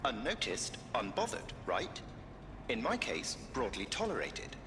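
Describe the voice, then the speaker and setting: An actor voicing a polite, formal artificial intelligence that runs a company in a video game, speaking in measured, precise wording. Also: male voice, medium pitch, wildly swooping pitch. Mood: formal, concerned. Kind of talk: scripted video game dialogue. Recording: long hall reverb, loud music behind.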